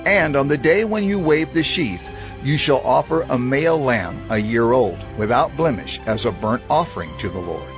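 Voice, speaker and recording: A man narrates scripture in a deliberate, declamatory style. His mid-pitched voice moves through lively inflections, solemn throughout, with music clearly playing behind him. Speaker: scripture reader.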